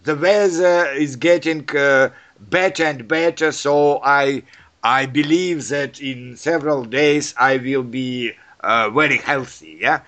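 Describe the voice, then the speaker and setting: Hoarse, hesitant man with a bright voice. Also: mid-range voice, takes it slowly. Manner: hesitant, with many fillers and restarts, as a non-native speaker. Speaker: conversation partner. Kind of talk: casual chat.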